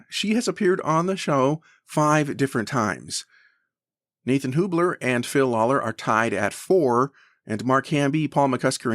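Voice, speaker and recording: A man's voice: medium pitch, no room sound, clean studio sound. A man hosting a podcast in a conversational, enthusiastic manner. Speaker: podcast host.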